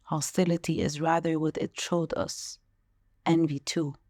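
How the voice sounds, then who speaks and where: A woman reading poetry in a measured, deliberate manner. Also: low voice, darkish tone, dry recording.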